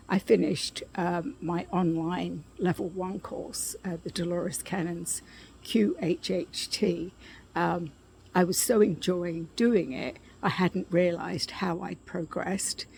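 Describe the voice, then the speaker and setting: A middle-aged woman with a scratchy voice giving a casual spoken update outdoors, softly, with frequent throat clearing and ums. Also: on the low side. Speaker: vlogger. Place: outdoors at her condo, quiet yard with birds.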